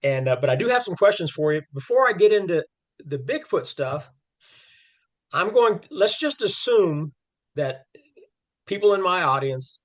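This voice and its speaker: Male host, fairly high voice, friendly, halting with fillers. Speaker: interview host. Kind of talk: casual interview over a video call. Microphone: video call.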